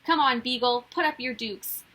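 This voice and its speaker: A bossy little girl from a storybook, acted out by a woman reader, lecturing and quick to take offense. The voice is bright and forceful with a husky edge. Every point lands emphatically. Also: a little high. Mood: scornful, indignant. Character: bossy, scheming little girl, easily indignant. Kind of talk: children's storybook read-aloud.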